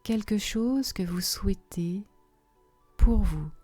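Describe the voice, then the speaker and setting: Woman doing yoga nidra, lowish really mellow voice, soft n soothing, faint music behind. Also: animated. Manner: soft, soothing, unhurried with long pauses, sometimes breathy. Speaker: yoga nidra relaxation guide. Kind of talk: guided relaxation meditation.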